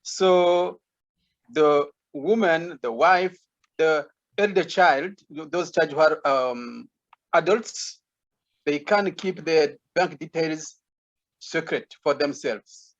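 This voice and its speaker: Man, panelist, slow halting delivery, slightly high pitch, muffled call sound. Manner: slow, careful, halting explanation with many restarts. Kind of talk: online panel discussion.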